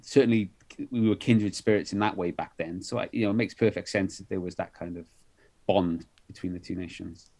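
A man's voice: sits low, fairly even intonation, fairly quick, a little mellow. Welsh-raised man rambling through an interview. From Wales. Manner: rambling, many restarts and fillers. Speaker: author guest. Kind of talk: conversational interview.

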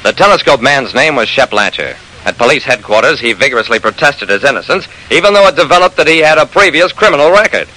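A man's voice: mid-range voice, animated, fast talker, hardly any pauses, close to an everyday voice. An actor playing a shrewd, persistent private investigator narrating his case in an old-time radio drama, with clipped, hard-boiled delivery. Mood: measured, matter-of-fact. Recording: noisy vintage radio recording.

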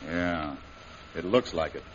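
An actor playing a captive jungle adventurer in 1930s radio style, gruff and clipped. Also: male voice, deep voice, slow pace. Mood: bitter. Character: defiant, bitter male jungle adventurer held prisoner. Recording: muffled, hissy old radio recording.

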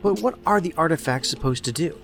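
An earnest federal agent in a futuristic audio drama. His voice is a little high for a man and moves in lively swings. He presses with direct questions over faint music. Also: brisk. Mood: persistent, impatient. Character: earnest, persistent male federal investigator. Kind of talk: science fiction audio drama acting.

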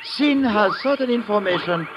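An actor playing a suave, gloating guerrilla mastermind who speaks with formal, smug politeness in an old radio drama. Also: male voice, high voice, animated, music in the background. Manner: formal, measured, smugly polite. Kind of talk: 1950s radio drama acting. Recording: muffled, noisy vintage radio recording.

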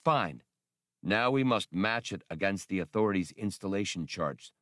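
A man voicing a grave, decisive rebel leader in an audiobook, with a measured, commanding manner. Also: low voice, animated, a bit bright, no room sound, studio quality. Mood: brisk.

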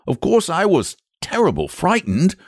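A man's voice: high voice, wildly swooping pitch, unhurried, no echo, studio quality, voice pushed up, heavily acted. A man voicing a nervous, indignant country shepherd in a storybook, rambling in rustic dialect with emphatic repetitions. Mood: nervous, awed.

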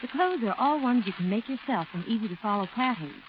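A woman's voice: a little high, lively intonation, brisk. A woman with an American accent delivering scripted fashion copy in a 1940s radio style. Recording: muffled, faintly noisy 1940s radio broadcast.

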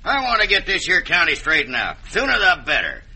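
An actor playing a blunt, wary Old West man in a radio western, with a gruff American western drawl. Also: on the high side, wildly swooping pitch, a little fast, pushed and bright, heavily acted. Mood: frustrated, warning. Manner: folksy, blunt frontier drawl.

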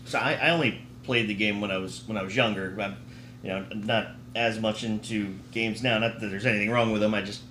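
A man's voice: fairly low pitch, fairly even intonation, brisk, bright, forceful tone. A man hosting a podcast in a casual, chatty style. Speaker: podcast host. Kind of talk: casual chat. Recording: roomy echo, faint background noise.

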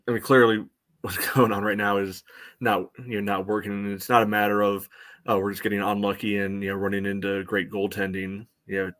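Male podcast co-host, low flat delivery, halting casual sports analysis full of "you know". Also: no echo.

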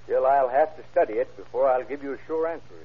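A male actor as a methodical police examiner in a vintage radio crime play. He has a husky voice and a careful, deliberate manner. The sound is muffled. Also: mid-range voice, close to an everyday voice. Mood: careful, musing. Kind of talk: old-time radio crime drama acting.

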